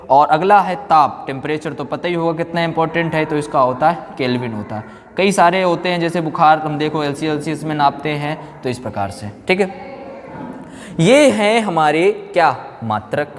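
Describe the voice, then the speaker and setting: A man lectures in a slightly high voice that rings with long hall reverb. He teaches step by step, posing questions and answering them himself. Key terms are repeated for emphasis, as in an exam-prep class. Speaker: physics teacher.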